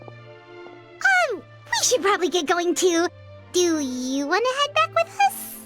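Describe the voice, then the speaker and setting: A tiny, excitable floating game companion with a piercingly high voice that leaps and dips all over the place. She chirps and frets and stumbles over her words when caught off guard, with game music playing underneath. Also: slow pace, heavily acted. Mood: flustered. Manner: excitable, stammering when flustered. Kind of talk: game cutscene voice acting.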